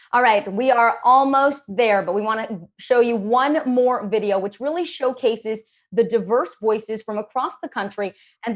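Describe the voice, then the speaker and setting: Upbeat woman hosting a town hall. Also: a little high. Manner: upbeat, quick, addresses audience directly. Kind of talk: live event hosting.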